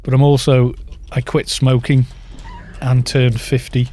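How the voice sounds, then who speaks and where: A man's voice: mid-range voice, flat delivery, unhurried, dark tone, a little hiss or hum. A British man in his fifties chatting in a rambling way while streaming a game and reading out viewer chat. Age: 50s. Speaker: gaming streamer.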